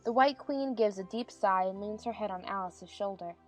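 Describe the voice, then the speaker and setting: The woman who reads stage directions in an audiobook play, mid-pitched over music. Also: moderate pace. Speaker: narrator. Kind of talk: scripted narration. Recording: music behind the voice.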